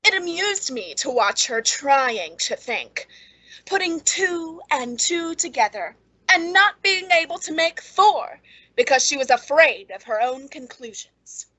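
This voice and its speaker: A high-voiced, bitter woman played by an actress broods through a radio suspense story. She speaks with pointed, deliberate menace, clever and quietly scornful of those around her. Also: expressive, heavily acted, poor audio. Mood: cold, contemptuous. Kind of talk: old-time radio suspense acting.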